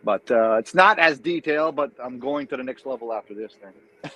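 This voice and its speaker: A man's voice: medium pitch, moderate pace, pushed and bright. A man from New Brunswick with a French Acadian accent, chatting hesitantly as a guest on a video call from a tablet, with lots of fillers and restarts. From New Brunswick, Canada. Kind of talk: casual chat on a video call. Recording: slightly dull lo-fi audio.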